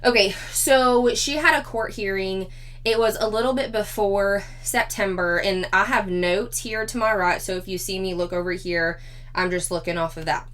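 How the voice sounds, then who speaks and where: A slightly hoarse woman, chatting casually as a commentary vlog host who laughs often. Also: mid-range voice, steady tone, moderate pace, fairly bright tone. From Four-State area of Missouri, Arkansas, Kansas, Oklahoma.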